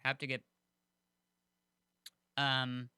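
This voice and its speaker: A man rambles through casual podcast chatter, dropping fillers as he goes. His voice is strikingly bright and smooth, recorded close and dry. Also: mid-range voice, monotone, extremely slow, no room sound. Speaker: podcast co-host.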